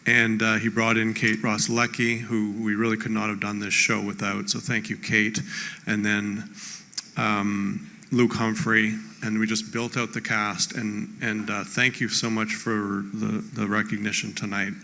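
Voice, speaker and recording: A man giving an off-the-cuff acceptance speech in a theatre, halting as he searches for names. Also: fairly low pitch, monotone, vocal fry, pushed and bright. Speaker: award winner, show creator. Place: theatre stage. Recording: echoing theatre hall.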